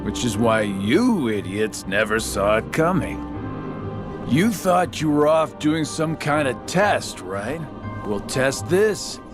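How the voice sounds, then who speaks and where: An actor playing a smug, taunting middle-aged villain with a sardonic, mockingly playful drawl in a video game cutscene. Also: male voice, mid-range voice, big pitch swings, slow pace. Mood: mocking, menacing. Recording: game soundtrack music behind the voice.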